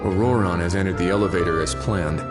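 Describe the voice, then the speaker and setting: An actor playing a composed, watchful official in a video game, speaking in a measured, musing way. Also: male voice, sits low, loud music behind the voice, natural voice. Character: composed watchful male official observing suspects.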